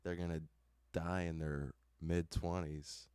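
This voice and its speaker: A young man in his twenties talks slowly in a very low voice, with frequent ums. His voice is exceptionally clear and close. The room is dry. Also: dry recording. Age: 20s. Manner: relaxed, hesitant, many ums. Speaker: band member, instrumentalist. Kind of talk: casual interview conversation.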